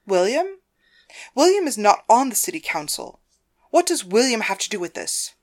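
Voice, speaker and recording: A woman's voice: a little high, sing-song, normal speed. A woman voicing a domineering, controlling wife with a stern, commanding manner in audiobook dialogue. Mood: demanding, hurt. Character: domineering, controlling wife and mistress interrogating her husband.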